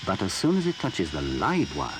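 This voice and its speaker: A man gravely reading a scripted safety warning in an ominous, deliberate manner. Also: low-pitched, wildly swooping pitch. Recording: noisy old film soundtrack.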